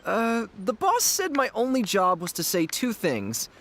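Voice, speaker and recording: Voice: medium pitch, wildly swooping pitch, unhurried, fairly bright tone, full character voice. An actor voicing an earnest, literal-minded young festival feedback ambassador in a video game, with music behind. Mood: earnest, unsure. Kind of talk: scripted video game character dialogue.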